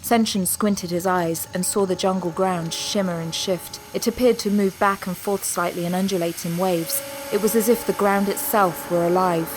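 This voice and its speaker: A woman's voice: lowish voice, steady tone, normal speed, few pauses, slight background noise, low-quality recording. A woman narrator delivering scripted dramatic narration with precise, descriptive storytelling.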